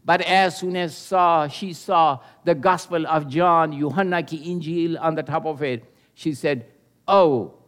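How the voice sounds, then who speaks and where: Older guy preaching, slow and careful, kinda high voice, lots of uh pauses. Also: steady tone, a bit bright. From Pakistan. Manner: earnest and measured, with pauses and hesitations. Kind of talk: sermon with personal testimony.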